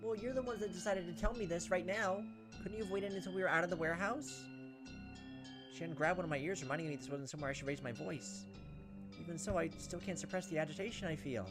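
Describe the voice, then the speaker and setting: Expressive man narrating over clear background music. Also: high voice, quick pace. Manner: expressive, storytelling, acts out the lines. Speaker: video game let's player. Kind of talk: dramatic read-aloud narration of visual novel text. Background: game music.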